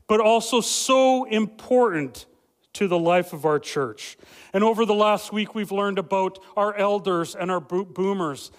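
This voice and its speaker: A young adult man, a family pastor, preaching a loud, lively sermon with many fillers and restarts. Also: sits high. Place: church sanctuary. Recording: reverberant church hall.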